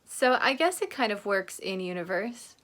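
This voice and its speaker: A woman's voice: mid-range voice, very animated, moderate pace, fairly bright tone, soft background music. A woman with an American accent giving casual commentary as host of a reaction video. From Oregon, United States.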